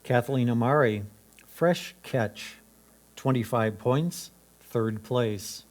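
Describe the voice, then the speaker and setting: A man's voice: medium pitch, slow. A man acting as a competition announcer, reading out names, titles and scores in a formal style.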